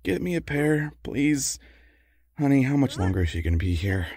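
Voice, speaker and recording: Grumbling, lively-pitched husband. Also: male voice, moderate pace, slightly rough, no echo, studio quality. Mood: weary, irritated. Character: tired, grumbling, flustered husband of a superheroine. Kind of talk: superhero comedy audio drama acting.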